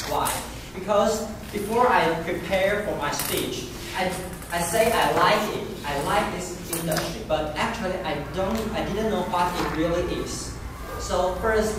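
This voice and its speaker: Echoey distant speaker. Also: mid-range voice. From Taiwan. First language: Taiwanese Hokkien. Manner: earnest, poses questions to the audience. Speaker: club speaker. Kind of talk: prepared speech to a live audience. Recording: very echoey room from a distance, noisy.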